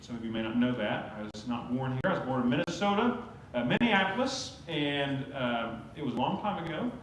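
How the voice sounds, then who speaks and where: A pastor preaching a sermon in a conversational, storytelling way. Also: male voice, mid-range voice, very animated, brisk. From Oklahoma. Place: church sanctuary. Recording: very echoey church sanctuary, faint hum.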